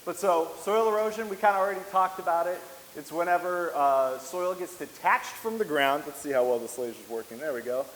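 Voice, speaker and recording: A man giving a public presentation as a conservation specialist, speaking loudly with an easygoing, joking manner into a handheld mic. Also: on the high side, brisk, in a large hall, a little hiss or hum, rough recording. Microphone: handheld microphone.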